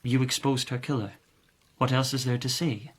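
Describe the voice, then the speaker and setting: An actor playing a calculating, authoritative lord of the palace in a radio drama, speaking in a formal, measured, oratorical way. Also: male voice, mid-range voice, fairly even intonation, breathy edge, lightly acted. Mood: wary.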